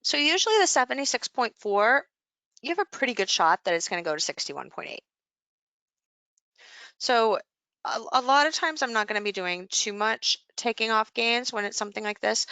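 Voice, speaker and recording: A woman's voice: a little high, gravelly, sharp tone, smooth, no echo. A woman coaching a live session, explaining things informally and conversationally as she thinks aloud over charts.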